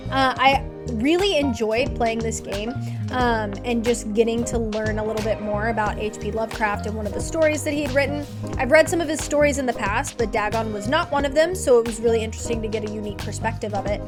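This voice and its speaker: Woman, fairly high voice, casual game streamer, playthrough commentary and read-aloud, clear music behind. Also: a little fast.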